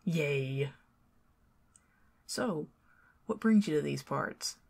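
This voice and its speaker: A woman with a Southern American accent doing an ASMR roleplay as a chatty, teasing neighbor who talks to the listener and drifts into soft whispers. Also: low voice, animated, very slow, creaky. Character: friendly talkative young woman neighbor.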